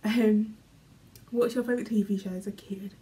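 A young British woman vlogging from her bedroom, reading questions aloud and answering in a chatty, rambling way, often trailing off quietly. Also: medium pitch, steady tone, normal speed. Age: young adult. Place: small bedroom, indoors. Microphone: camera in front, medium framing.

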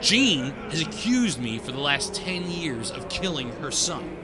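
Reluctant horror-drama hero, sarcastic and blunt, highish voice with very lively swings, music underneath. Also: male voice, measured pace. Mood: exasperated. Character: cynical, foul-mouthed reluctant hero, brash and exasperated. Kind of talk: horror audio drama acting.

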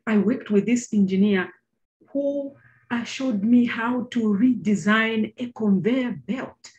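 A woman's voice: on the high side, slow, darkish tone, dull audio. A middle-aged woman from Zambia with a Zambian accent, giving a chatty talk over slides to students on a video call, with many fillers and questions to her listeners. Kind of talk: informal presentation over slides.

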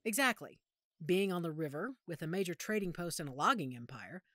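A woman playing a knowledgeable werewolf pack member in an audio drama, speaking in a measured, authoritative, explanatory way. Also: lowish voice, animated, fairly bright tone, no room sound, clean studio sound. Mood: informative, assured. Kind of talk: audio roleplay drama acting.

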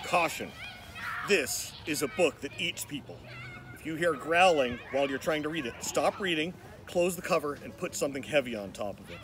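A man reads a picture book with a lively, swinging pitch. He is theatrical and mock-ominous, telling it like a cautionary tale for children. Light outdoor noise sits behind him. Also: moderate pace. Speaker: nature educator reading aloud. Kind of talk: dramatic children's storybook reading.

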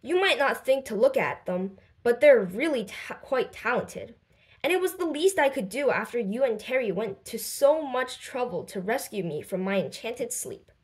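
A kind, cheerful storybook girl, voiced by a woman. She explains things reassuringly in a fairly high voice with very lively intonation. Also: normal speed, lightly acted.

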